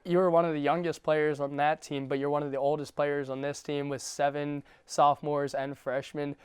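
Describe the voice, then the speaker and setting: Young male studio host, smooth fluent delivery, very clear tone. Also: on the high side, little pitch movement, moderate pace, no echo. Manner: fluent, polished presenter delivery. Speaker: student TV sports show host. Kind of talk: scripted interview questions. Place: studio set.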